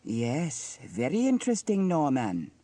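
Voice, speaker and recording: A man's voice: fairly high pitch, huge pitch swings, measured pace, dark tone, no echo, pitched above the performer's own voice, heavily acted. A man voicing a nosy, fussy middle-aged village shopkeeper woman who clucks and gossips in a children's story. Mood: nosy, astonished.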